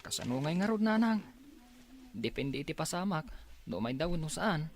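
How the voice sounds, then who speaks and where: A patient, soft-spoken young man acted by a man in an Ilocano radio drama. His coaxing, calming speech moves through a lively range of pitch. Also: moderate pace, a little hiss or hum. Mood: reassuring.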